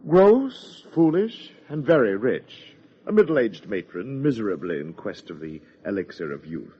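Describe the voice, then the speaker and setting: An actor playing a pompous, sardonic party host in a 1950s radio drama, wordy and theatrical. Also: male voice, on the low side, big pitch swings, unhurried, breathy edge, full character voice. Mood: disdainful. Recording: dull old radio recording.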